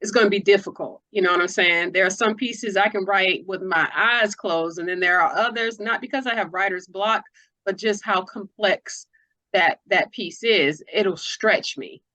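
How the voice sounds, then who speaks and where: A woman's voice: mid-range voice, normal speed, sharp tone, poor audio. A woman hosting a conversational interview, putting questions to her guest and explaining what she means. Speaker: interviewer, host.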